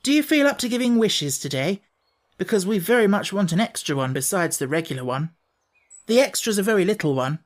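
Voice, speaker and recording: A woman's voice: mid-range voice, lively intonation, a little fast, pitched above the performer's own voice, natural voice. A woman voicing a grumpy, blunt schoolboy in a storybook, with a snappish, brusque manner. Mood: eager.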